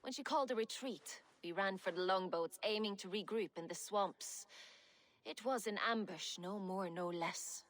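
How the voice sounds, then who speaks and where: A woman's voice: mid-range voice, normal speed, a little hiss or hum, lightly acted. An actress playing a wry Viking woman and former smuggler who speaks dryly, with a wistful edge. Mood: recounting. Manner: dry, sardonic, wistful. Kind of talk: game dialogue.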